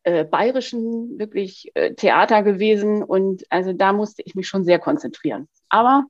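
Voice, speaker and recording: A woman's voice: mid-range voice, lively intonation, normal speed, dry recording, highs cut off. A woman hosting a podcast interview, chatting loosely and correcting herself as she goes. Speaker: podcast host and supervisor.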